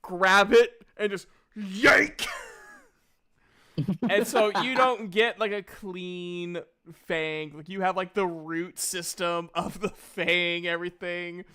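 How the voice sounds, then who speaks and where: A man narrating a tabletop game as game master in a casual, animated, halting storytelling way. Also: high-pitched, smooth.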